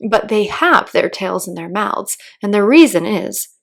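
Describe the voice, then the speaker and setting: A woman's voice: mid-range voice, animated, a little slow, creaky. Woman as a dramatic, sentimental storybook turtle. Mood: earnest, wistful.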